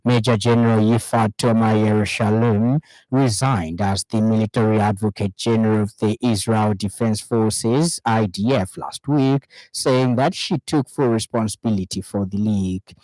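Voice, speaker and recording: Mellow Zambian newsreader, low male voice. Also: fairly even intonation, moderate pace, few pauses, no echo, low-quality recording. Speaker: radio news presenter. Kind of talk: scripted news bulletin reading. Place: radio studio.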